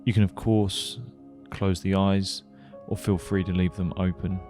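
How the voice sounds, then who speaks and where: Deep-voiced man guiding a meditation over music. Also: flat delivery. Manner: gentle, unhurried instructing with pauses. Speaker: meditation guide. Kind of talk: guided meditation.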